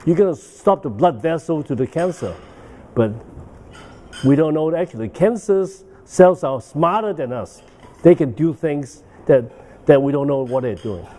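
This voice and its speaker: Older guy giving a conference talk, lots of uh and okay?, warm relaxed voice. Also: a little high, moderate pace. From Hong Kong. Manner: rambling, with frequent "uh" and "okay?" tags. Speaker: guest lecturer. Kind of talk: medical conference lecture. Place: banquet hall.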